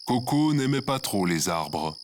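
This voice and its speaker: A man's voice: fairly low pitch, very animated, a little slow, background noise. A man voicing a small, fluffy, simple-hearted animal companion in an audio drama, in terse and solemn phrases. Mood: plaintive. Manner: terse, solemn, quaintly formal. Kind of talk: scripted audio fiction acting.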